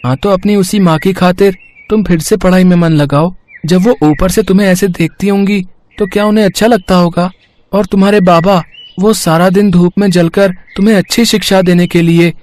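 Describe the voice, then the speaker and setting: A man puts on a seven-year-old boy for a Hindi moral tale, secretly a god. The voice is raised high and sounds kind, earnest and wise, gently encouraging a friend. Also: lightly acted. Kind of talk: Hindi moral storybook acting.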